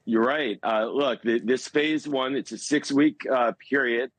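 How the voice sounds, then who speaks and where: A man giving foreign policy analysis as a news interview guest, speaking in a measured, halting way and stressing key words. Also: medium pitch, no echo.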